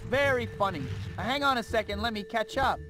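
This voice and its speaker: Man playing an earnest, high-pitched young superhero. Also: brisk, over loud music, lightly acted. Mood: wisecracking. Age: young adult. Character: earnest, wisecracking young male superhero, awkward. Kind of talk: video game acting, casual.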